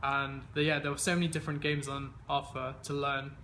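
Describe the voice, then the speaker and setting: Young man in his twenties from England, easygoing interviewee, bright tense tone, fairly high and flat, faint background hum. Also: fairly quick. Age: 20s. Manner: easygoing, many fillers and restarts. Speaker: interviewee, games player. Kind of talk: casual interview answers.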